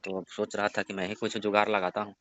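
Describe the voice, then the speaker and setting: Man acting sly young guy, low dull voice. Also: level tone, fast, a bit bright, lightly acted. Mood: insinuating. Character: sly, coaxing young man, the woman's son's friend. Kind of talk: casual phone conversation acting. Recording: muffled lo-fi phone call recording.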